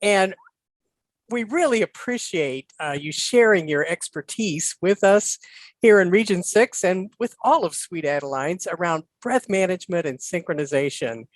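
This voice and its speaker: A host warmly thanking a guest speaker in an effusive way. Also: medium pitch, normal speed, no echo. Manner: effusive, grateful.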